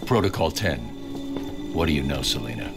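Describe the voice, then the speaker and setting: A very deep, grim vigilante. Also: male voice, big pitch swings, moderate pace, exaggerated voice. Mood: stern. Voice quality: gravelly. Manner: terse, stern. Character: grim, brooding male masked vigilante detective. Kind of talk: video game hero acting. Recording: loud music behind.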